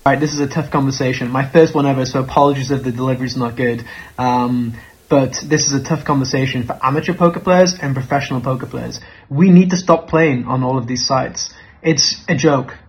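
A man's voice: mid-range voice, fairly quick. A man delivering an indignant, informal monologue with repetition and swearing, heard as a dull played-back clip. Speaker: professional poker player.

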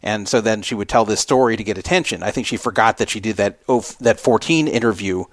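A man's voice: fairly low pitch, fast, dry recording, studio quality. A man hosting a casual talk show, giving rambling, opinionated commentary and repeating himself for emphasis. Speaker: podcast host.

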